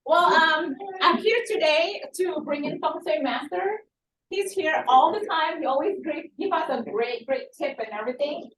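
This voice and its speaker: High-pitched woman, non-native English, informal event host, echoey room, distant mic. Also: normal speed, fairly bright tone. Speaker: event host, home warranty representative. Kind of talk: informal live presentation.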